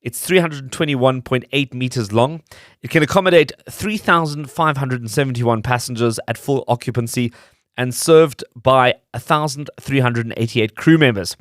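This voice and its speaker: A man's voice: mid-range voice, fairly bright tone, dry recording, studio quality. A man hosting a radio show, narrating from a script to his listeners. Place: dry studio.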